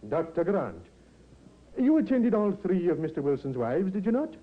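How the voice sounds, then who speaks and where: An actor playing a probing, impatient police inspector with a clipped, insistent manner in a 1950s radio drama. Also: male voice, high-pitched, very animated, moderate pace, a little hiss or hum. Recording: dull vintage radio recording.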